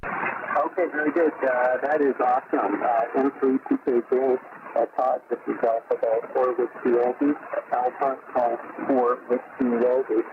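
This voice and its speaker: A man's voice: medium pitch, fairly even intonation, moderate pace, phone-quality sound. A man signing off on ham radio, upbeat and full of fillers. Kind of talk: casual radio sign-off. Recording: radio with static noise.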